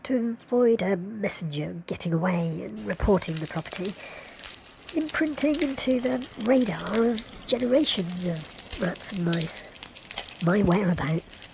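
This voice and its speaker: A woman's voice: mid-range voice, lively intonation, unhurried, vocal fry, background noise. A woman reading wry, wordy scripted narration with arch, playful and florid phrasing.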